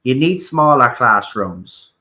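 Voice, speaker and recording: A man's voice: medium pitch, lively intonation, slow, bright, forceful tone. An Irish man from Ireland hosting a talk show, speaking bluntly with repeated words, swearing and frequent "right?". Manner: blunt, repetitive, swears, keeps asking "right?". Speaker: host. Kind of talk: opinionated political talk.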